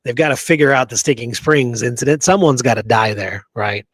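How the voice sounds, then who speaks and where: A man's voice: medium pitch, a little fast, no echo. A man co-hosting a history talk show, chatting casually and emphatically, often checking that his listener agrees. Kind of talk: casual chat about Western history and films.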